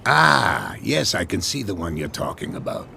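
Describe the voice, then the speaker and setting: An actor playing a weathered harbor captain in a video game, proud and superstitious, with a gravelly, measured, wry storytelling delivery. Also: male voice, deep voice, wildly swooping pitch, sharp tone, a little hiss or hum, full character voice. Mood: proud, solemn. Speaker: last serving sea captain.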